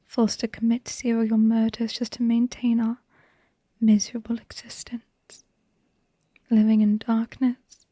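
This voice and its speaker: A woman playing a devoted, tender and haunted vampire wife in a hushed, breathy, intimate ASMR bedtime monologue. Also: a little high, monotone, no room sound. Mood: sorrowful, haunted. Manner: slow, tender, halting delivery with lingering pauses.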